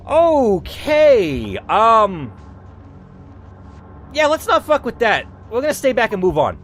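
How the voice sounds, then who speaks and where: Young guy reading a text adventure out loud, voice jumps up and down a lot, drops f-bombs. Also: mid-range voice. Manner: animated, swearing casual asides. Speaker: gaming channel host. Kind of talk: reading an interactive story game aloud with commentary.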